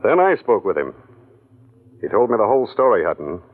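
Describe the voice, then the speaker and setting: A man playing a police lieutenant who speaks in a measured way with thoughtful pauses, in 1940s radio acting style, on a tinny old radio recording. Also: on the low side, sing-song. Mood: accusing. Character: calm, probing male police lieutenant.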